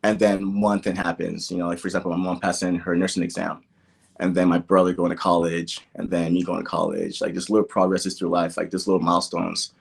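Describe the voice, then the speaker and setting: A man's voice: sits low, little pitch movement, a little fast, gravelly, breathy edge. A young man from Nigeria who grew up in the American Midwest, talking casually as a podcast guest and peppering his stories with "like" and "you know". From born in Nigeria, grew up in the American Midwest. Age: young adult. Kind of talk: casual interview, personal storytelling.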